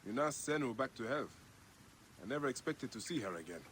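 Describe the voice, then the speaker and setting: A man playing an earnest, protective ancient Egyptian warrior in a video game cutscene, speaking in a measured, resolute way. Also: mid-range voice, expressive, fairly bright tone, a little hiss or hum, lightly acted, rough recording. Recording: game music behind the voice.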